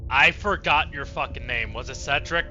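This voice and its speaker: A man's voice: a little high, normal speed, sharp tone, lo-fi sound, soft background music. A man giving casual, sweary, sarcastic gaming commentary, talking back to the game as he streams. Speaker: let's play streamer.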